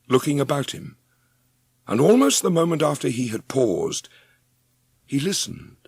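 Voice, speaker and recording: A man giving an expressive scripted narration of a storybook. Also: fairly high pitch, husky, no echo, studio quality.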